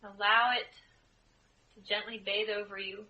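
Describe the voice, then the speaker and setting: A woman leading a guided group meditation as a spiritual channeler, speaking in measured, deliberate phrases with frequent pauses. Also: medium pitch, level tone, bright, forceful tone, lo-fi sound. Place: indoor room, live group setting. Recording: live group room, clear background noise.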